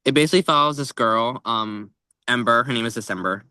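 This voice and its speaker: A man's voice: mid-range voice, a little fast, sharp tone, clean tone, no echo. A man co-hosting a book podcast in casual, informal chat, often padding his phrases with "like". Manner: informal, tentative phrasing with frequent "like". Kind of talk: casual chat about books.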